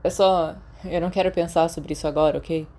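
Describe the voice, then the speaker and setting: A woman's voice: sits low, fairly even intonation, fairly quick, mellow, natural voice. Woman doing a moody brazilian teen boy from a storybook, sarcastic and wounded, really leans on the words. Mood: subdued, guarded. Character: hot-tempered, wounded, sarcastic teenage boy. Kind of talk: podfic character acting.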